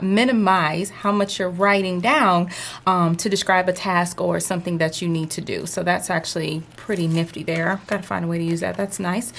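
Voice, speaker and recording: A woman's voice: medium pitch, a little hiss or hum. A woman, chatting casually through a planner unboxing, a bit stuffy from a sinus cold, rambling and friendly with lots of fillers. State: slightly stuffy, congested from sinus trouble. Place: indoors at a desk under lights. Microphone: overhead camera, hands only.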